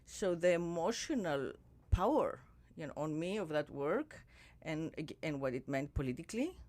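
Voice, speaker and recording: A woman podcast host in casual conversation, reflective and musing, drifting softer as she thinks aloud. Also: sits low, big pitch swings, normal speed.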